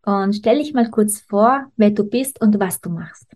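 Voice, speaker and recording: A woman's voice: medium pitch, unhurried, a little mellow. A woman in her thirties speaking as a podcast host, introducing and interviewing. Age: 30s.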